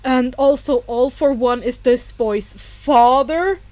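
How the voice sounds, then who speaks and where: A woman voicing an agitated, distrustful male superhero from a story, loud and indignant. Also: high-pitched, slow, no room sound. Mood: shocked, incredulous.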